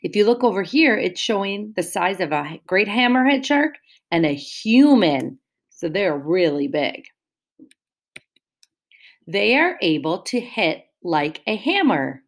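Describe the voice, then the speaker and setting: Slow, lively-voiced woman teacher reading aloud. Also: mid-range voice. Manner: sing-song, asks the children questions and explains words. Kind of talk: read-aloud lesson for young children.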